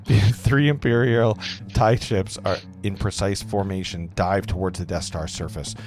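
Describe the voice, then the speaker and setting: A man with a low voice reads screenplay action lines aloud in an informal, slightly bumbling way. Guitar music plays clearly behind him as he narrates. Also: steady tone, a little slow. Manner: informal, stumbles over words and jokes about it. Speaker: narrator of a table read. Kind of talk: reading screenplay stage directions aloud.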